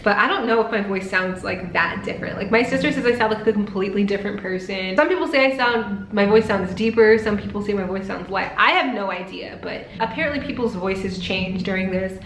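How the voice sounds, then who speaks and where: A woman's voice: mid-range voice, fairly quick, hardly any pauses, fairly bright tone. A young woman talking casually to her viewers, filling her sentences with "like". Her voice sounds a little strained from a recent throat surgery. She's in an echoey room with a faint hum of ambience behind her. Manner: chatty, peppered with "like". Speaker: vlogger. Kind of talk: casual vlog talk to camera.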